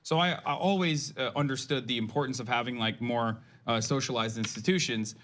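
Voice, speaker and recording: A young man from Turkey talking on a casual panel. He rambles as he goes and keeps doubling back to restart his sentences. His voice sits at a middle pitch. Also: fairly quick, a bit bright, slight background noise. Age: young adult. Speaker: political commentator.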